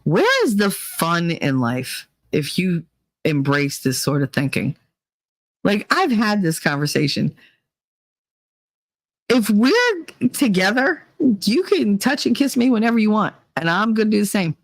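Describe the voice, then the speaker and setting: A woman's voice: mid-range voice, very animated, a little slow, dry recording. A woman hosting a vlog, giving blunt, rambling opinions with plenty of swearing. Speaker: vlog host. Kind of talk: casual opinion monologue.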